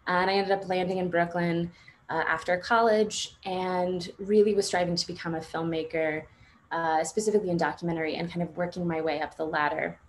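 A woman in her thirties telling her personal story informally on a panel video call, halting and reflective, with frequent filler phrases. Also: on the low side, steady tone. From born and raised in Morocco until seven, then United States. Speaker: panelist sharing personal testimony. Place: indoors at home on a video call. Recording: noisy video-call audio with room ambience.

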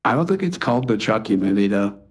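A man giving short, halting, casual answers as a guest being questioned in a game. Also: on the low side, expressive, breathy edge. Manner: hesitant, terse.

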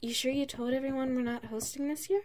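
A woman playing an affectionate, playful wife in an ASMR roleplay, speaking in a soft, intimate manner. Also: high voice, steady tone, fast, noisy background, lightly acted. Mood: surprised, uneasy. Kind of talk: ASMR roleplay, one side of a dialogue.

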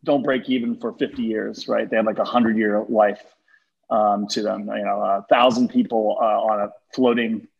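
A man in his forties who grew up speaking Turkish and English, talking in a relaxed, chatty way with many fillers on a video call from his office. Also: lowish voice, normal speed, creaky, breathy edge. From born in London, raised in Turkey and Texas. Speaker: guest, fund manager. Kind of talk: casual video-call interview. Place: office with high windows, indoors. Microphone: webcam on a video call. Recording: dull lo-fi video call audio.

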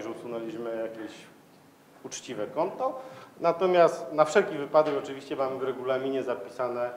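A man gives an informal, explanatory conference talk in a conversational, somewhat hesitant way, speaking into a handheld microphone in a large lecture hall. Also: mid-range voice. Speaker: conference speaker, security manager.

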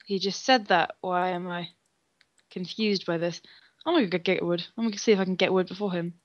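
A woman's voice: fairly low pitch, steady tone, a little fast, no room sound. A young woman co-hosting a gaming video, chatting casually as she plays. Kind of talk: casual gameplay chat. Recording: dull lo-fi voice-chat sound.

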